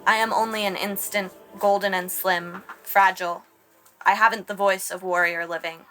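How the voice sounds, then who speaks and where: A woman recites a poem slowly, her pitch staying flat and even from line to line. Music plays clearly beneath her voice. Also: rough recording. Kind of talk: poetry reading.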